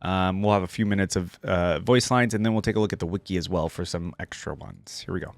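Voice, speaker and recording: A man's voice: low voice, quick pace, creaky, music in the background. A man hosting a reaction video, casually reading out lore and thinking aloud about it.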